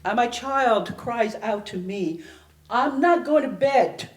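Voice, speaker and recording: Echoey, slow, dramatic woman poet. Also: a little high, expressive. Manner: theatrical, deliberate phrasing with long pauses. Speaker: poet performing at a library reading. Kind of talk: dramatic poetry recitation. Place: indoor library event space. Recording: echoey room, public event recording.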